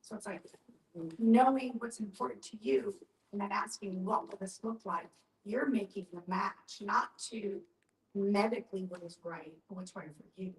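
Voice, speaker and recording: A woman's voice: mid-range voice, moderate pace, recorded from a distance. Woman explaining in an echoey room. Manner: explanatory, often voices example questions aloud. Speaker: panel speaker on end-of-life care. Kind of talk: conversational group discussion.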